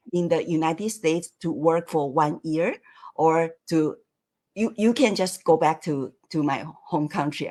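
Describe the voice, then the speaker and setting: A woman guest in a casual online chat, speaking hesitantly with frequent small laughs. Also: on the low side. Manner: slow, hesitant, laughs often. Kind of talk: casual live chat interview. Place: lived and worked in the United States.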